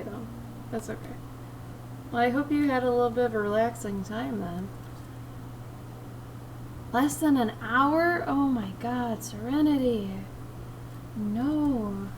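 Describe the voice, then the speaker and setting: Young female streamer, raspy, fairly high voice, laid-back chat, audible background noise. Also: distant mic. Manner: chill, relaxed, sniffing often. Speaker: livestreamer. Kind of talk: casual chat with stream viewers while drawing.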